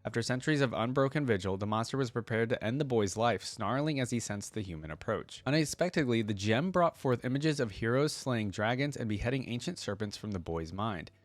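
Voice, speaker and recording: A man's voice: medium pitch, animated, fairly quick, few pauses, dry recording. A man hosting a reaction video, speaking in a casual way as he comments and reads aloud.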